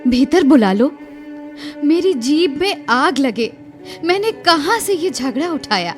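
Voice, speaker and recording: A woman's voice: high-pitched, sing-song, slow pace, faint music behind, heavily acted. A woman playing a kind-hearted, repentant young wife in a radio drama, imploring urgently and repeating her words. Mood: regretful, urging. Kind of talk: Hindi radio drama acting.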